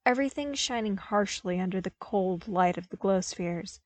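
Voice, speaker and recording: A woman narrates scripted fiction in the manner of an audiobook. Her pitch sits in the middle for a woman. The recording is very dry, without audible room. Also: animated, moderate pace, dry recording. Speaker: podfic narrator.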